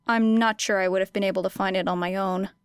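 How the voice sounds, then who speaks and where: A woman's voice: mid-range voice, steady tone, quick pace, clear, resonant tone, dry recording, close to an everyday voice. A woman voicing a weary, guarded teenage girl student with a dry, reserved delivery in an audiobook. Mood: tired, polite.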